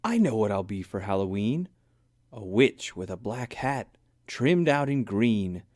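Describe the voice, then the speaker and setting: A man's voice: lowish voice, very animated, unhurried, a little mellow, no echo, studio quality. A man voicing a decisive, enthusiastic young girl bear cub in an earnest children's storybook reading.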